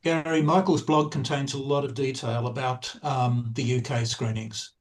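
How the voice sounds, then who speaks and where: Older man, medium pitch, measured, hesitant, informative panel talk. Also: level tone. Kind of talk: informative webinar discussion.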